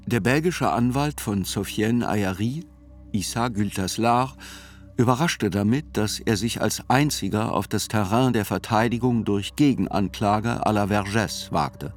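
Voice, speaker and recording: Radio play narrator: actor as observant male court reporter, low register, measured literary delivery over soft music. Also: natural voice. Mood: measured, reflective. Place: studio.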